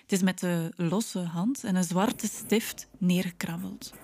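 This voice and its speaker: A woman's voice: fairly low pitch, slow pace, a little mellow, slight background noise. A woman with a Flemish accent narrating a personal documentary from a script, as its host.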